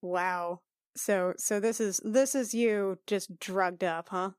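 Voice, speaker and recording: A woman talking casually as a tabletop game player, slipping into roleplay in a halting, wry, sweary way. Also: medium pitch, fairly even intonation, smooth, dry recording, studio quality.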